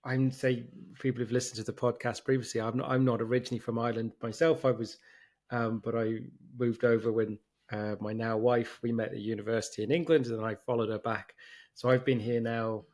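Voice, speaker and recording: A middle-aged man who grew up in Germany, hosting a podcast at a middle pitch. He talks in a loose, chatty way, often restarting his sentences. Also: little pitch movement, a little fast, a little mellow. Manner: chatty, many restarts and fillers. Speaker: podcast host. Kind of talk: casual interview conversation.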